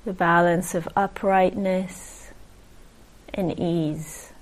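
A woman meditation teacher leading a guided meditation in a gentle, unhurried manner, leaving long pauses. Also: fairly low pitch, steady tone, rough recording.

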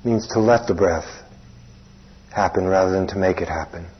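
A man leading a guided meditation as a teacher, speaking plainly in short, unhurried phrases with long pauses. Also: low-pitched, husky. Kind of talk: guided meditation instruction, spoken live. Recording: dull, muffled lo-fi recording.

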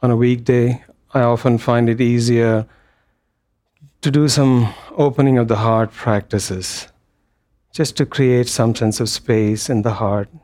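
A man leading a guided meditation as a teacher, speaking gently in short phrases with long pauses between them. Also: medium pitch, fairly even intonation. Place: meditation hall.

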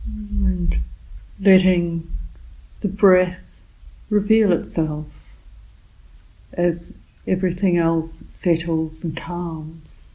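Woman meditation teacher, real slow, mellow voice, calm, leaves big gaps between little phrases. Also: fairly low pitch, rough recording. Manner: unhurried, with short phrases and long pauses. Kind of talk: guided meditation, softly spoken instruction.

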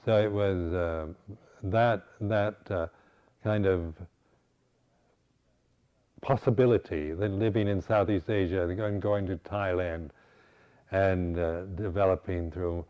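An older man, a Buddhist teacher, giving a reflective dharma talk with halting restarts. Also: low voice, steady tone, smooth, dull audio. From United States.

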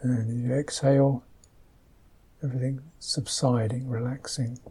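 A man teaching a guided meditation, speaking softly and gently in unhurried, halting phrases, with a slightly husky voice. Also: mid-range voice, flat delivery.

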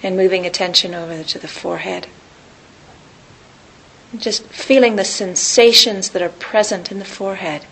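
A woman teaching a guided meditation in a calm, unhurried, gentle manner with long pauses. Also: medium pitch, poor audio. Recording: hissy recording with clear background noise.

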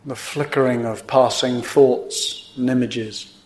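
Slow-paced man guiding meditation, roomy echo. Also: medium pitch, steady tone. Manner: unhurried, gently instructive phrasing with pauses. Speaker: meditation teacher. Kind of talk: guided meditation. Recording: roomy, echoing indoor sound.

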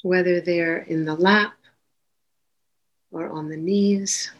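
A woman leading a guided meditation as a teacher, giving gentle, unhurried instructions with long pauses between phrases. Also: lowish voice, fairly even intonation, dull audio.

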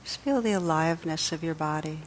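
A woman leading a guided meditation as a teacher, speaking in a gentle, soothing, unhurried way with pauses. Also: low voice, slight background noise.

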